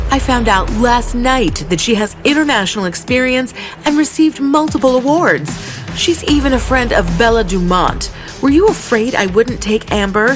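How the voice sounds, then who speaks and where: A woman's voice: a little high, natural voice. Woman acting stern, blunt magazine boss. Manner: firm, reproachful, authoritative. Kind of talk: audio drama acting.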